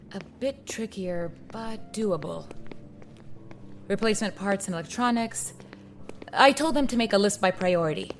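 An actress playing a steady, resolute leader with a measured, encouraging manner in an audio drama. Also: female voice, mid-range voice, natural voice. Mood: businesslike. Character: steady, resolute female leader rallying her people. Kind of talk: audio drama acting, naturalistic dialogue.

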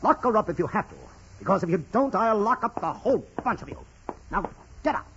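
Mean impatient police commissioner, old radio play, pitch all over, muffled hissy recording. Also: male voice, animated, brisk, a bit bright. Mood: angry, threatening. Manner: stern, accusing, hectoring. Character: bullying, impatient male police commissioner interrogating suspects. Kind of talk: 1940s-50s radio drama acting. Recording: dull, noisy old radio broadcast.

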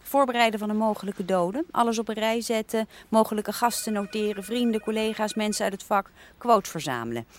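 A woman playing a brisk, bossy editor-in-chief in a radio comedy, curt and businesslike as she directs her staff. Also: mid-range voice, expressive, a little hiss or hum, lightly acted. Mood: brisk, commanding.

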